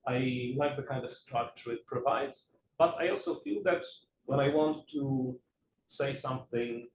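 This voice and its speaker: A man in his thirties from Poland, a native Polish speaker, giving an academic talk in a measured, hesitant way with many fillers. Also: medium pitch, slight background noise. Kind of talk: academic lecture. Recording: echoey room, mic at a distance.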